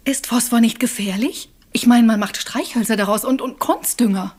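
A woman's voice: fairly high pitch, normal speed, a little mellow, lightly acted. An actress playing an anxious, guarded wife and mother in a radio crime drama, earnest and apprehensive.

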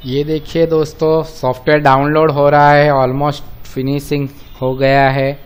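An Indian man with a fairly high voice walks viewers through software on screen. He explains each step informally, in a friendly form of address, and drops English technical terms into his speech. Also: little pitch movement, a little slow, clear, resonant tone. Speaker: software tutorial presenter.

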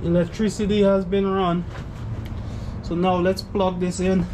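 Dude vlogging a basement fix, kinda high voice, chill explainer, noisy room with ac humming. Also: male voice, unhurried. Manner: relaxed step-by-step explaining. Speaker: home renovation vlogger. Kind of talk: casual how-to narration. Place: unfinished basement indoors.

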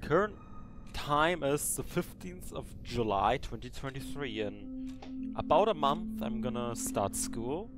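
A man's voice: medium pitch, animated, takes it slowly, loud music behind the voice. A man of twenty hosting a casual monologue to his future self, rambling and hesitant with filler words. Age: 20s. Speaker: podcast host.

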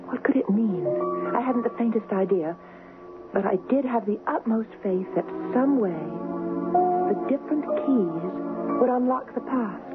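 An actress playing an earnest, dreamy young engaged woman in a 1970s radio drama, speaking with dramatic pauses. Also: medium pitch, phone-quality sound, close to an everyday voice. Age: young adult. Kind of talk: 1970s radio drama acting, narrating and dialogue. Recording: noisy, thin band-limited old radio broadcast.

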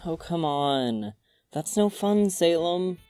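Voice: medium pitch, lively intonation, slow, dark tone, soft background music. An actor playing a cheeky, friendly man in his early twenties in a casual audio drama, playful and teasing. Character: cheeky, friendly 22-year-old man, Bailey.

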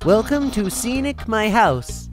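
A woman plays a goofy skeleton monster from a video game, earnest and full of himself. He declaims grandly at an unhurried pace. Faint music plays underneath. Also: fairly high pitch, sing-song. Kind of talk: video game character dub.

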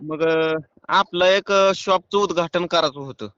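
A man with a rural western Maharashtra Marathi accent, chatting casually on a phone call in a hesitant, halting way. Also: on the high side, fairly bright tone, clear, resonant tone. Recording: muffled phone call recording.

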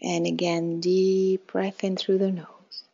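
A woman leading a guided meditation in a calm, measured way, with short instructions and soft phrase endings. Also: on the low side, gravelly, breathy edge, dull audio. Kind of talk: guided meditation, slow spoken instructions with long pauses.

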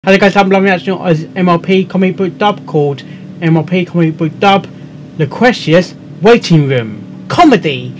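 A young English man hosts a casual reaction video in a fairly high voice. He rambles and halts as he works through his thoughts. Music plays clearly behind him. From England. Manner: halting, rambling. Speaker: host of a reaction show. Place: indoor room at a computer.

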